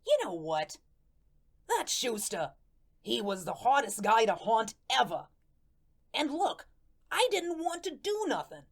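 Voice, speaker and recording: Woman playing an animated, folksy, good-hearted ghost. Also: a little high, measured pace, pauses often, heavily acted. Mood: earnest, wistful. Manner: folksy slangy speech with dropped grammar and rhetorical questions. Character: earnest, good-hearted, hapless ghost of a murdered tough guy. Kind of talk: radio drama first-person monologue.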